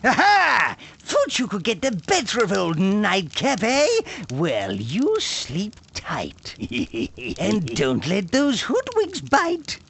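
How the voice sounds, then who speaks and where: Pompous, grumpy cartoon villain, swooping wildly. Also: male voice, sits high, wildly swooping pitch, slow pace, creaky, slight background noise, heavily acted. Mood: gloating. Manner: pompous, imperious, theatrical. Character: grumpy villainous nightcap master, tetchy, theatrical schemer. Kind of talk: animated video game cutscene acting. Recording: loud music behind the voice.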